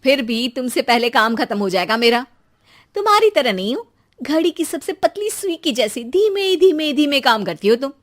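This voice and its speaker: A woman's voice: high-pitched, big pitch swings, moderate pace. A woman voicing a brash, boastful, impatient young daughter-in-law in a children's cartoon story. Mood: irritated. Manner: teasing, bragging, impatient. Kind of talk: children's animated moral story.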